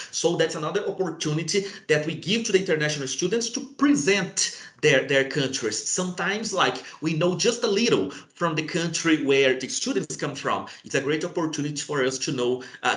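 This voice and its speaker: A man's voice: on the high side, fairly bright tone. Brazilian-accented man presenting, echoey room. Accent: Brazilian Portuguese. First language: Portuguese. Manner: frequent hesitations, friendly explanatory tone. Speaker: university international affairs representative. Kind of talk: informational presentation.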